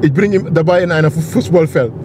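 A man's voice: a little high, a little fast. Jokey West African man, noisy recording. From Gambia. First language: not German. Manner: jokey, boastful, non-native phrasing. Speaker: vlog host, bodybuilder. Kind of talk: casual, rambling vlog chat. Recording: noisy car and street ambience.

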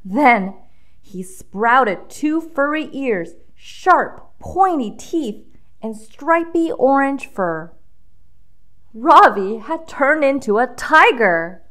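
A woman reads a picture book to young children. Her voice sits fairly high and swings expressively up and down. She takes her time, leaning on key words with dramatic emphasis. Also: big pitch swings, very slow, a bit bright. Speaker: children's storybook reader. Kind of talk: expressive read-aloud storytelling with questions to young listeners.

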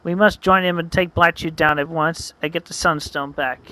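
A man voicing a calm, formal male psychic hedgehog leader with a measured delivery. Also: fairly high pitch, no room sound. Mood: commanding.

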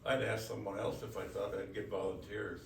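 A man's voice: on the low side, flat delivery, a little fast. A man running a public hearing as a commission member, speaking in curt procedural remarks. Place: public meeting room. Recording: echoey room, distant mic, clear noise.

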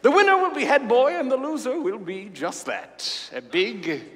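A man's voice: very high voice, lively intonation, normal speed, a bit bright. A man playing a vain, scheming, flamboyant schoolteacher with a smug, mock-sweet manner, in a comic staged reading. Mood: smug, goading. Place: on stage in a large hall. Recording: echoey live hall.

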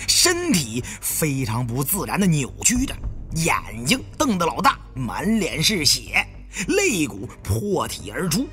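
A man narrating a story as an audiobook, with expressive, theatrical phrasing. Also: a little high. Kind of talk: dramatic first-person audiobook storytelling.

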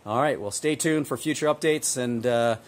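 A man in his fifties giving a casual walkthrough of a construction site to a handheld camera, relaxed and upbeat with frequent filler words. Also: mid-range voice, moderate pace. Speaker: builder giving a site tour. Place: outdoor construction site, then inside a framed house. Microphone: phone or camera held at arm's length.

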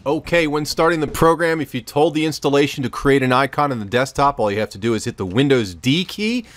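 A man in his fifties giving an informal, instructional software tutorial at a close microphone in a small room. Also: medium pitch, lively intonation, a little fast, no room sound, faint music behind. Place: small home studio room, indoors.

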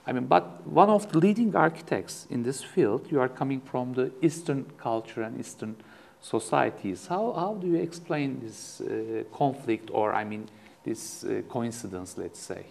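A man's voice: mid-range voice, animated, moderate pace, gravelly, darkish tone. A middle-aged man whose first language is Turkish, hosting an interview in English and asking questions hesitantly, with restarts, in a studio. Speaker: interview host, professor. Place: studio with backdrop.

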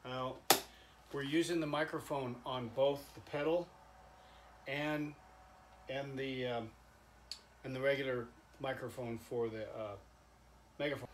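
An older man giving a halting, folksy, informal product demonstration, like an inventor showing off his own gadget. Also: medium pitch, fairly even intonation. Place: indoor cluttered home workshop. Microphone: camera mic a few steps away. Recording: echoey workshop with background noise.

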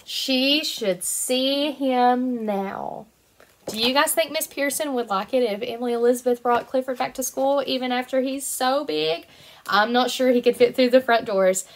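High-voiced young woman reading to children. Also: moderate pace, slight background noise. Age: 20s. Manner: animated, sing-song, addressing small children. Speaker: kindergarten teacher reading aloud. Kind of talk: picture-book read-aloud for young children.

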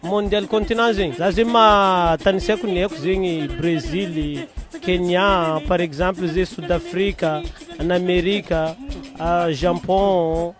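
A man's voice: sits high, fairly even intonation, unhurried. A young man with a Malagasy accent answering a street interviewer outdoors into a handheld mic, rambling and hesitant. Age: young adult. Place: outdoors at a market stall. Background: music bed.

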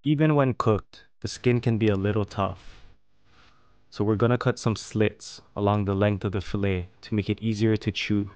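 A man narrating a fish-cutting and plating tutorial as host, explaining each step calmly. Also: on the low side, clean tone, dry recording. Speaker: fishing and cooking channel host. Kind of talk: calm instructional voice-over.

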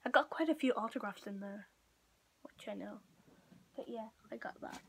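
A teenage girl from Portugal vlogging casually to camera in a room at home, talking in a halting way full of "like". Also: on the high side, creaky, fairly bright tone, a little hiss or hum. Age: teenager, about 15. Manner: halting, frequent "like" and trailing off. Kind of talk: casual chatty show-and-tell to camera. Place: small room at home, indoors. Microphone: built-in device mic at arm's length or a bit further.

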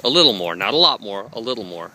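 Bright-voiced young man vlogging with dogs. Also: fairly low pitch, very animated, fast, slight background noise. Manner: upbeat, coaching, talks to his dogs. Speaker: dog trainer vlogger. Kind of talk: casual vlog narration. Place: gym, park and home, handheld camera. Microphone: handheld camera mic.

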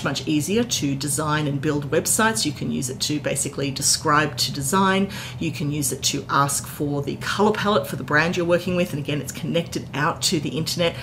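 A woman in her forties giving an enthusiastic, informal explainer recap to camera as a tech presenter, indoors. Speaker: tech content creator, presenter. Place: indoor hotel-style room. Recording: clear background noise with low rumble.

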